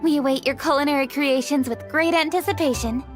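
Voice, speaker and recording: A woman's voice: high-pitched, a little fast, faint music behind, close to an everyday voice. A woman voicing a poised, serious young noblewoman in a video game, speaking in a composed, formal way.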